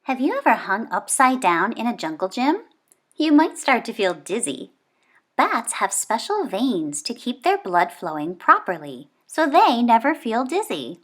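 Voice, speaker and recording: A woman reading a children's nonfiction book aloud, expressively and with clear phrasing for young listeners. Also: a little high, very animated, measured pace.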